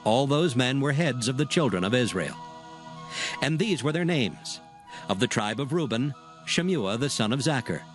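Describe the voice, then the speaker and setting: A man narrating scripture in a measured, formal reading. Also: medium pitch, lively intonation. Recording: music under the voice.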